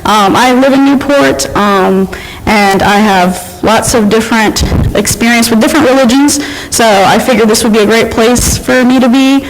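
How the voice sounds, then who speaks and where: A woman's voice: fairly high pitch, moderate pace, slight background noise, low-quality recording. A woman from Syria casually introducing herself as a visitor to a group, speaking into a microphone. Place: church sanctuary with handheld microphone. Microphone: handheld microphone.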